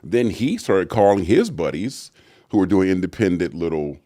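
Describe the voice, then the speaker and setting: A middle-aged man, an actor and voice actor, telling stories in an interview with a deep, resonant voice and acted-out quotes. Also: expressive, moderate pace, mellow, no room sound, studio quality. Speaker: actor and voice actor guest. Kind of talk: storytelling interview answers.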